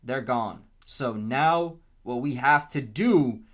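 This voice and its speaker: A young American man speaks very slowly at an average pitch for a man. He is earnest and deliberate, building an off-the-cuff opinion monologue piece by piece. He repeats his phrases to drive them home, like a podcast host thinking aloud. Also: fairly bright tone. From Canton, Massachusetts, US.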